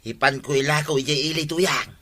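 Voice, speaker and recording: Sharp-voiced, cheeky scheming vendor. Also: male voice, on the high side, a little fast. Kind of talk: comedic radio drama acting.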